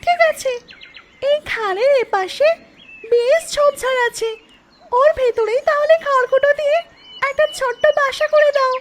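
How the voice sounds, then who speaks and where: A performer voicing a gently nagging mother duck wife in a cartoon, in a squeaky small voice. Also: moderate pace, background noise. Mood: pleased. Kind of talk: cartoon dialogue.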